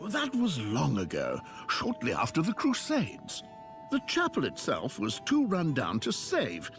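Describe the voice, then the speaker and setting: An actor playing a chatty, drily amused priest telling rambling stories in a video game. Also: male voice, on the high side, heavily acted. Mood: genial, explanatory. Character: chatty, wry priest and shepherd of a church. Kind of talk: video game character dialogue.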